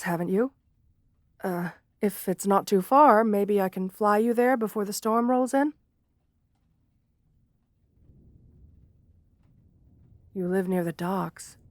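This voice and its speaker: A shy gargoyle woman, played by a female performer for a fantasy ASMR roleplay. She speaks at a medium pitch in formal, bookish phrases, gentle and polite. Her bashful hesitations give away a lonely, romantic temper. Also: no room sound, studio quality, close to an everyday voice. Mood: polite, curious. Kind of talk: ASMR fantasy roleplay, intimate one-sided dialogue.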